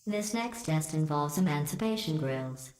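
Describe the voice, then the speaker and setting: A woman voicing a cold, sarcastic female AI overseer in a video game, delivering deadpan, dry insults. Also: low voice, moderate pace. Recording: heavily echoed game audio with music.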